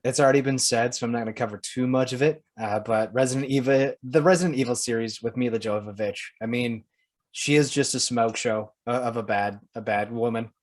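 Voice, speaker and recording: Male podcast co-host, everyday medium pitch, loose conversational delivery. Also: a little fast. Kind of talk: casual chat.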